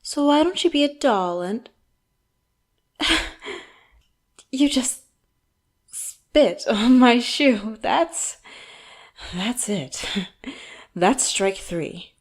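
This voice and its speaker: A woman's voice: medium pitch, expressive. A woman playing an elegant, condescending villain interrogator in an audio roleplay, speaking in a theatrical, mock-polite, taunting way. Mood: condescending, patient, then offended, menacing. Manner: slow, theatrical, mock-polite, taunting, drops into hushed asides. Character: elegant, wealthy villain boss, coolly menacing and condescending interrogator. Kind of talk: audio roleplay, scripted monologue to the listener.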